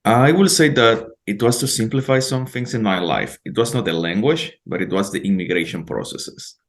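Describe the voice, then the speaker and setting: A young man from El Salvador talks as a guest in a casual podcast interview. His voice is low, and his speech is measured. He often softens his points with "let's say" and "I would say". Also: expressive.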